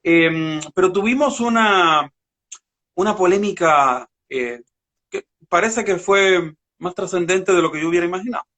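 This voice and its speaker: A man's voice: high-pitched, lively intonation, unhurried, pushed and bright. A man hosting a radio interview, asking questions in a conversational way with long lead-ins. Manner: conversational, prefaces questions at length. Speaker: radio show host.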